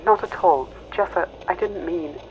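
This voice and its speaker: A man voicing a wounded young female imperial mech pilot, prickly and anxious, in a clipped, halting way over a radio filter for an audio drama. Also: high voice, dull audio, voice pushed up. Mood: anxious, hurting. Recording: fuzzy band-limited radio with faint noise.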